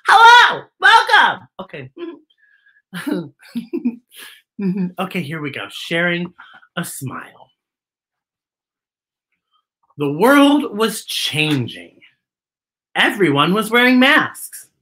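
A man's voice: high-pitched, huge pitch swings, extremely slow, pauses often, bright, forceful tone. A middle-aged man hosting a children's storytime, reading aloud and chatting to young viewers in a playful, theatrical way. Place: living room, indoors.

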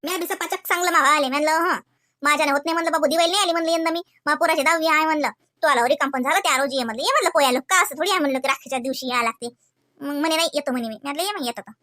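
A woman voices a gossipy village housewife in a comedy cartoon. She is chatty and full of exclamations, with a very high, bright voice and a rustic Varhadi Marathi accent. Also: rapid-fire.

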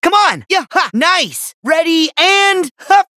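A brave, cheerful teenage boy hero from a video game. The voice sits very high and swings widely in pitch. Lines come as short, energetic, punchy exclamations in a bright, forceful tone. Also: slow, no echo, studio quality, heavily acted. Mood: battle-ready. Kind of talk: video game voice acting, battle barks and exclamations.

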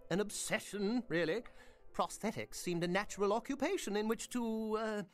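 A man's voice: sits high, normal speed, soft background music, close to an everyday voice. A man voicing a creepy, obsessive prosthetics maker. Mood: eerie cordial. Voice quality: genteel, relishing, slyly unsettling. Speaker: game character. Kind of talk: video game voice acting.

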